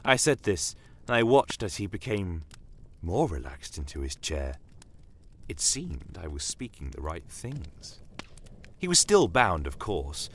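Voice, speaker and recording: A man voicing a shrewd, bitter non-human desert commander in a dramatic audio story, speaking in a measured, theatrical and courteously menacing way. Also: sits low, very animated, slight background noise, full character voice. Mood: measured storytelling. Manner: measured, theatrical, pointed rhetorical questions. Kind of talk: dramatic first-person audio story narration. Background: battle aftermath ambience.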